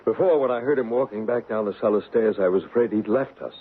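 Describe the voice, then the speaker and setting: A man playing a calm, authoritative ghost investigator in a radio drama, measured and formal in his explanations. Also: on the low side, slight background noise, natural voice. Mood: composed. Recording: tinny old radio broadcast.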